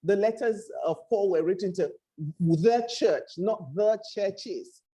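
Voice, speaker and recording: A woman from Ghana with a Ghanaian accent gives an earnest, reflective sermon-style talk, often pausing and repeating words. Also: mid-range voice, mellow, slightly rough. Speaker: pastor. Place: home in Accra, speaking to a remote audience.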